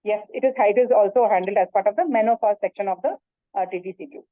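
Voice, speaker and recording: A woman's voice: medium pitch, fast talker, dry recording. A woman teaching yoga in a webinar, speaking earnestly and repeating herself, with many fillers and restarts, over a video call. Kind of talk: webinar talk and Q&A. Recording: tinny phone-like call audio.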